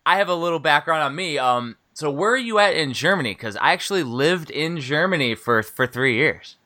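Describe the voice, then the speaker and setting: A man's voice: a little high, lively intonation, fairly quick, sharp tone. An American man in his thirties hosting a casual interview, rambling and laid-back with lots of fillers and laughs. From United States. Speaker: podcast host and book publisher.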